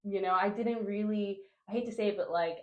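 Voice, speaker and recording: A woman's voice: mid-range voice, steady tone, a little fast, a little mellow, roomy sound, lo-fi sound. A young woman from Honduras who grew up in New Jersey, talking casually as a podcast guest and filling her speech with "like" and "you know". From Honduras, raised in New Jersey. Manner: chatty, many fillers like "like" and "you know". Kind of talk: casual conversational interview.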